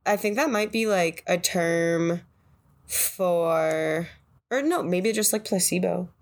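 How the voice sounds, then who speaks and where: Lower-voiced woman in casual chat. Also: slow pace. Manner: frequent agreeing murmurs, thinking aloud. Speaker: podcast co-host.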